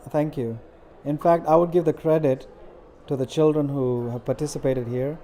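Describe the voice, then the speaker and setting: Earnest middle-aged man, mellow voice. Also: fairly high pitch, fairly even intonation. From India. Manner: earnest, measured with frequent fillers. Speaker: interviewee, community organizer. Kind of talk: interview answers.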